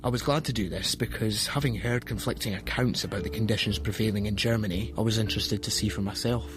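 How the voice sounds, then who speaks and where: A man's voice: on the low side, steady tone, quick pace, music in the background, close to an everyday voice. An actor playing an earnest young man, reading out his formal wartime statement for a radio documentary. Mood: earnest, measured.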